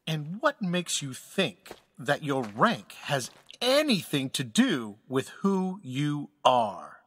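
A man playing an animated, husky old space admiral. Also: fairly high pitch, exaggerated voice. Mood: wry challenge. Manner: measured, formal, earnest mentor's delivery. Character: wise old male space admiral, secretive, mentoring, patient. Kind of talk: audio drama acting.

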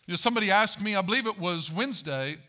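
A man in his forties delivers a sermon from a large church stage, his voice pitched high and bright. He preaches with rising emphasis and poses questions to the audience, heard through a headset mic. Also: lively intonation, fast. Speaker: pastor.

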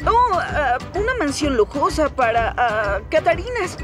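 A woman's voice: sits high, big pitch swings. A woman voicing a cheeky, boastful young male steam engine in a Latin American Spanish cartoon dub, with hesitant phrasing. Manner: hesitant, improvising, drawn-out fillers. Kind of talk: animated children's series dubbing. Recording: music behind the voice.

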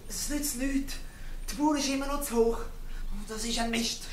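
A woman's voice: on the high side, moderate pace, darkish tone, a little hiss or hum, natural voice. A woman with a Swiss German accent playing an unsure, questioning young boy in a radio play, hesitant and doubtful. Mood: anxious. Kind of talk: radio play acting in dialect.

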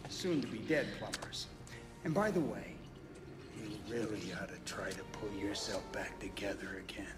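An actor playing a menacing, sarcastic villain ruler in a live-action fantasy film. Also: male voice, lowish voice, moderate pace, loud music behind the voice, lightly acted. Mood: sneering, threatening.

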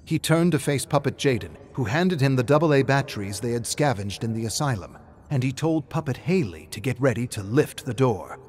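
A man's voice: mid-range voice, expressive, a little fast, a little mellow, a little hiss or hum. A man reading scripted, dramatic story narration as the narrator.

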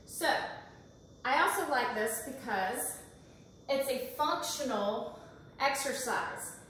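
An encouraging woman in her forties leads a workout from her home kitchen, her voice high and her pace unhurried. She counts reps aloud while she moves. The camera sits across a bare, echoing room, so she sounds distant. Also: animated, a bit bright, a little hiss or hum. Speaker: fitness instructor. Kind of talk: guided workout instruction. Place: large home kitchen, indoors. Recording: echoey kitchen, voice from far off.

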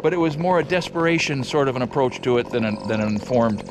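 Sixties host from Oregon, reflective and steady, even mid pitch. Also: male voice, noisy background. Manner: measured, reflective. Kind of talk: casual chat and voice-over narration. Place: indoor wooden workshop.

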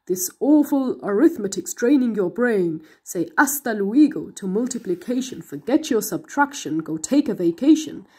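A woman voicing a sly, mocking male math monster with a snarling, drawn-out delivery, a little away from the mic. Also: mid-range voice, lively intonation, warm tone, breathy edge.

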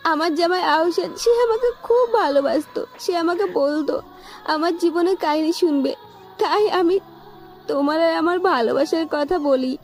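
A woman's voice: extremely high pitch, moderate pace, soft background music. A woman voicing a money-hungry young girlfriend in an amateur audio love-story drama. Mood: pleading, regretful. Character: scornful, money-hungry young girlfriend, later begging forgiveness.

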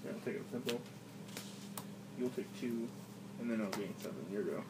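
Laid-back male card player hosting his own channel; mid pitch, recorded from a distance by a phone in a roomy, noisy room. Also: normal speed. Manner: laid-back, chuckling, talks to viewers. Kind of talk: casual play commentary. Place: indoor room at a game table.

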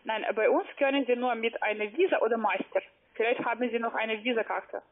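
A woman working as a call-center caller, speaking halting, broken German with many hesitant fillers. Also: on the high side. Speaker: phone scam caller. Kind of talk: phone call. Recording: narrow phone line.

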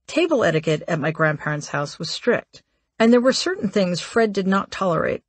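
A woman reading a memoir aloud as an audiobook narrator, in a measured, articulate way. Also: fairly low pitch, very animated, husky, dry recording.

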